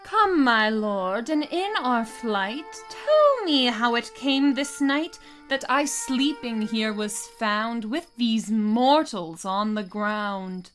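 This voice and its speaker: Graceful fairy queen, played by a woman: courtly Shakespearean radio verse, unhurried, slightly high voice, faint music. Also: expressive, fairly bright tone. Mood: curious, tender.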